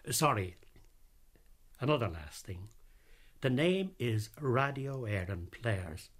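A middle-aged or older man giving a scripted personal radio talk, emphatic and precise, repeating phrases deliberately for effect. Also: mid-range voice, very animated. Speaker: radio drama founder giving his recollections.

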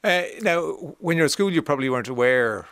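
An Irish man hosting a radio show, interviewing a guest in a lively, jokey way. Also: fairly high pitch, fast talker, clear, resonant tone.

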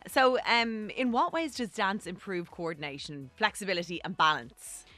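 A woman's voice: medium pitch, moderate pace, sharp tone, dry recording. Chatty Irish woman hosting, dry studio sound. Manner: effusive, affirming interjections. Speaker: radio show host and interviewer. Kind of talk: chatty broadcast interview.

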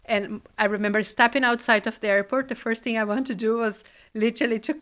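Smooth, flat-voiced woman from Brazil. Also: fairly high pitch, quick pace. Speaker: interviewee. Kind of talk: personal account.

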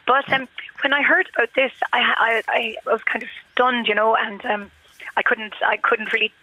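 A woman calling a radio show, croaky from a sore throat, making an articulate, measured argument. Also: fairly high pitch, steady tone, bright, forceful tone. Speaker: radio caller. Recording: dry, tinny phone line.

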